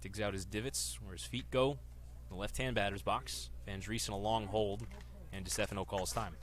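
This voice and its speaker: A man's voice: fairly low pitch, steady tone, normal speed. A man in his twenties calling a baseball game live as a radio play-by-play announcer. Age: 20s. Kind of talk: live sports commentary.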